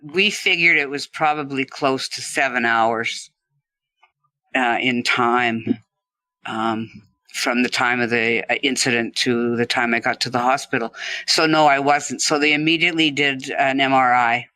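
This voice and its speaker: A man telling an interviewer about his stroke in a relaxed, conversational way, laughing now and then. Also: mid-range voice, measured pace, no echo. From Canada. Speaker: stroke survivor guest.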